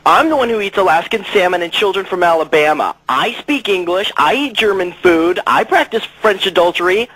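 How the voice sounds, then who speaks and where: A high-pitched, husky eccentric radio caller. He rambles earnestly and contrarily, a deluded oddball on a satirical phone-in. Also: expressive, brisk, hardly any pauses, fairly bright tone, exaggerated voice, rough recording. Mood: indignant. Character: deluded, contrary eccentric man who calls himself Mary.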